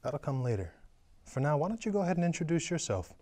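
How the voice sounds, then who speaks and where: An actor reading a calm, menacing male caller in a table reading, measured and taunting. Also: fairly high pitch.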